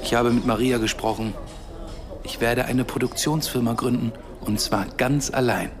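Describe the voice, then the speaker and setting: An actor playing an earnest, idealistic and sardonic Palestinian academic and activist in a radio drama. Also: male voice, medium pitch, steady tone, normal speed, crowd noise, natural voice. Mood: earnest, determined.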